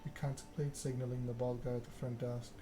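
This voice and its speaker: A man narrating a story game aloud in a soft ASMR style. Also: medium pitch, flat delivery, brisk, music in the background. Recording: clear background noise.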